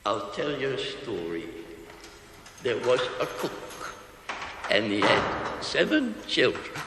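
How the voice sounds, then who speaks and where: An actor playing a bitter, sardonic dying army cook in a theatrical television drama, ranting, mocking and self-pitying, swinging from shouts to mutters. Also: male voice, medium pitch, huge pitch swings, slow pace, heavily acted. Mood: weary, plaintive. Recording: echoey reverberant hall, noisy old TV recording.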